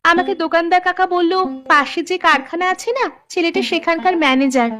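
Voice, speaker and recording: A woman's voice: very high voice, fairly quick, pushed and bright. A woman voicing a dutiful, earnest young village daughter in a cartoon story. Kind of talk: animated story voice acting.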